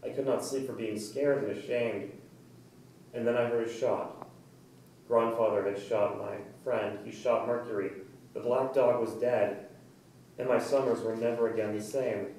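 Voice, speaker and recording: Heavy room echo surrounds a distant male voice with faint noise behind it. It is an actor as a haunted, earnest man in a trance in a staged play. He speaks deliberately and intensely, in a medium pitch. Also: steady tone, darkish tone, close to an everyday voice. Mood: haunted, brooding. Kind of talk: dramatic staged play reading. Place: indoor room, staged reading recorded from afar.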